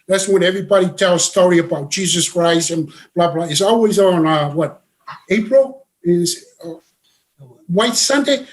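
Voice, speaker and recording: High-voiced man, halting talk. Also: rough recording. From Samoa. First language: Samoan. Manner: halting, full of uh's and restarts. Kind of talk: casual conversation.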